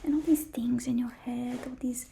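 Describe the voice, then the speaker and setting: A woman's voice: fairly high pitch, steady tone, normal speed, gravelly, dark tone, a little hiss or hum. A woman doing a whispered ASMR role-play as a supervillain's timid, apologetic assistant, speaking in a hesitant, placating way. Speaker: ASMR performer playing a villain's personal assistant.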